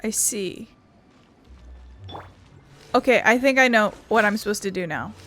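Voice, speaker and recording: A woman's voice: medium pitch, a little slow, fairly bright tone. A woman giving casual let's-play commentary, grumbling bluntly and swearing as she plays. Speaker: gaming video host. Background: game music.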